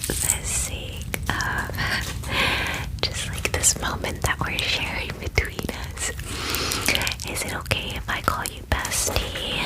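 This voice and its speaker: A woman voicing a friendly, chatty female dragon in a breathy ASMR whisper, gushing and reassuring like a best friend. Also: lightly acted, rough recording. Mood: gushing, affectionate. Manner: gushing bestie talk, casual fillers, reassuring. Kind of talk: whispered ASMR roleplay, personal attention.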